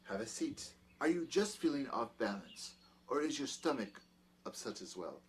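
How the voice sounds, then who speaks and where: An actor playing a middle-aged, scheming doctor in an audio drama, courteous and old-fashioned but quick to command. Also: male voice, mid-range voice, very animated, breathy edge. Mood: solicitous, smooth. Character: peculiar, scheming doctor, outwardly courteous, domineering to his servant.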